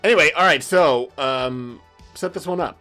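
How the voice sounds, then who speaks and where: A man's voice: on the high side, moderate pace, bright, forceful tone, faint music behind. A man co-hosting a podcast in casual chat, often breaking off and restarting his thoughts as he goes. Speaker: podcast co-host.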